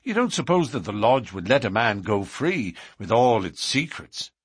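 A man's voice: on the low side, very animated, normal speed, husky, no room sound, clean studio sound, full character voice. A man voicing a secretive, passionate young lover with a lilt, speaking earnestly and coaxingly in an audiobook. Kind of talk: audiobook character reading.

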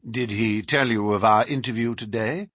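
A man's voice: on the low side, moderate pace, fairly bright tone, dry recording, studio quality. A man voicing a cool adult male detective who asks precise questions. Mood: probing. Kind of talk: audiobook character reading.

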